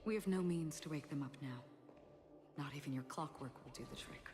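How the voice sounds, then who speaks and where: A woman voice actor playing a solemn, resolute guide in a video game, grave and measured. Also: low voice, darkish tone, close to an everyday voice. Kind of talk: scripted video game voice acting. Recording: reverberant game audio over music.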